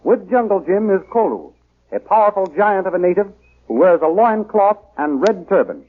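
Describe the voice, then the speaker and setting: High-voiced American radio announcer, tinny vintage recording. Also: male voice, lively intonation, moderate pace. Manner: grandiose, declamatory. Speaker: announcer and narrator. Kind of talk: 1930s radio scripted narration.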